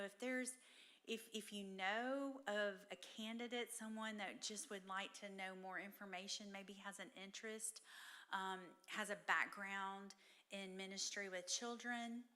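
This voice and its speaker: A woman's voice: medium pitch, bright, forceful tone. A woman from Columbus, Georgia, with a Southern accent, giving an informal update to a church gathering as a mission director, chatty and friendly with many fillers and restarts.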